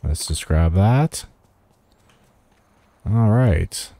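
A man's voice: low-pitched, lively intonation, very slow, vocal fry, warm tone, dry recording. A man giving a casual video game walkthrough, thinking aloud and chatting to the characters as he plays. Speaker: gaming guide host. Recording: music behind the voice.

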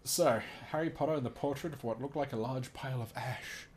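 A man's voice: mid-range voice, a little fast, slight background noise. A man casually reading aloud and commenting as host, amused and giggly, swearing now and then.

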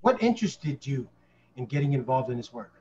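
A man's voice: medium pitch, big pitch swings, fairly quick, darkish tone, a little hiss or hum. A man in his thirties hosting a casual live show and interviewing a guest over a video call. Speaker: podcast host. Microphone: podcast mic with headset. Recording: slightly dull video-call audio.

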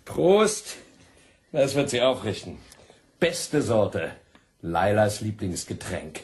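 A man's voice: on the low side, big pitch swings, slow. An actor playing a sly, worldly, chummy man with an insinuating manner in a German crime radio drama. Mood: jovial, insinuating. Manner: insinuating, jovial. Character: sly, worldly, chummy male client of a call girl.

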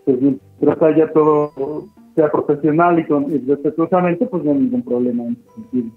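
Young Mexican male receptionist: husky, slightly high voice, polite and reassuring, dull phone line. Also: fairly even intonation, moderate pace. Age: young adult. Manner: courteous, reassuring. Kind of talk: polite customer service phone talk. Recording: muffled phone line, faint music.

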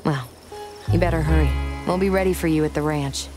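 Actress as a low-voiced, defiant runaway bride. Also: female voice, lightly acted. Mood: composed, polite. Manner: brisk, sharp-tongued, self-assured. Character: tough, brisk, defiant young runaway bride in the West. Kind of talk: video game cutscene acting. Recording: music under the dialogue.

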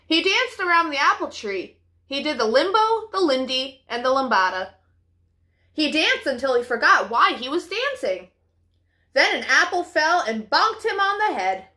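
Young lady reading a kids book, high bright voice, super animated, takes her time. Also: normal speed. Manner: animated, clearly paced for children. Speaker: storytime host. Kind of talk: expressive children's picture-book reading. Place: living room, indoors.

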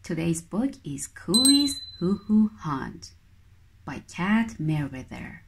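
Kiwi lady reading a kids story, super slow, really animated, careful phrasing. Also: fairly low pitch, gravelly, noisy background. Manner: expressive, deliberate phrasing. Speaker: children's book reader. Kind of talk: storybook read-aloud for young children.

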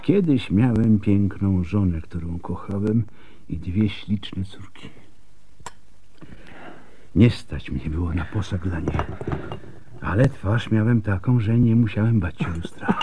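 An actor playing a blunt, world-weary, scarred plague doctor in a radio drama, gruff and emphatic, with bitter resignation. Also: male voice, lowish voice, takes it slowly, pauses often, noisy background. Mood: embittered.

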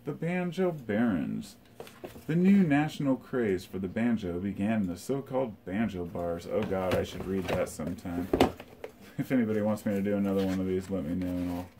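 A man's voice: low voice, normal speed, background noise. A middle-aged man sits in his living room and talks casually through his box of old tapes. He thinks aloud and rambles, with many fillers. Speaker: collector showing his tape reels. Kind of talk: casual show-and-tell. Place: living room by a record shelf, indoors.

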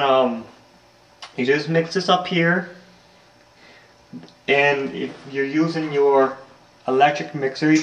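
A young man casually talking through a home recipe in his kitchen, rambling with lots of ums, recorded on a handheld camera. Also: mid-range voice. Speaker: home cooking vlogger. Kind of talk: casual cooking demonstration. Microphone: handheld camera mic. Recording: echoey kitchen, faint noise.

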